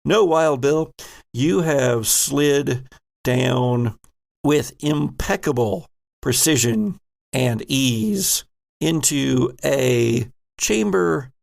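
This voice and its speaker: Gravel-edged man narrating as game master. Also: medium pitch, very slow. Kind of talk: narrating a horror sci-fi tabletop roleplaying game.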